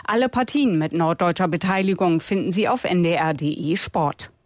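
Brisk female sports news reader. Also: fairly low pitch, no echo, studio quality. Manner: brisk, clear reading of results. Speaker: sports reporter.

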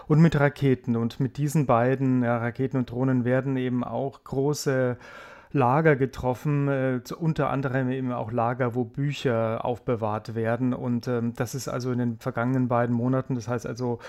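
A man's voice: mid-range voice, level tone, normal speed, darkish tone. A man working as a foreign correspondent, answering radio interview questions in a thoughtful, explanatory way with frequent hesitations.

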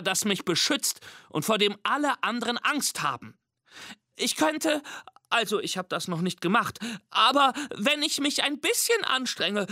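A squeaky, timid little yellow monster. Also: male voice, no room sound, studio quality, exaggerated voice. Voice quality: high squeaky pleading voice. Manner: stammering, backpedaling. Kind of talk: storybook reading.

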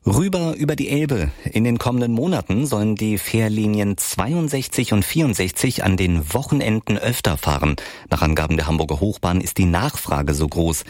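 A man with a deep voice reads the news in a measured, formal way. The sound is dry and close, with no room around it. His delivery is steady and scripted. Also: no echo. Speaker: news presenter. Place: radio studio.

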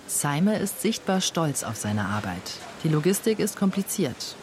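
A man giving calm, measured, scripted narration for a documentary report. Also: on the high side, crowd noise.

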